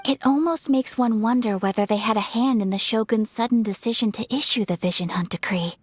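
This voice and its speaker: A woman playing a refined, composed young noblewoman in a video game, speaking in a formal, measured, polite way with a soft voice. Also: fairly high pitch, no echo, close to an everyday voice. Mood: pensive, grave. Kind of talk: video game dialogue acting.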